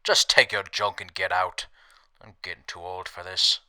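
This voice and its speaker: A man's voice: low voice, fairly even intonation, normal speed, bright, forceful tone. A man playing a grumpy aging shopkeeper in a post-apocalyptic radio drama, sardonic and curt. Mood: irritated, threatening. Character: gruff, grumpy aging male wasteland shopkeeper.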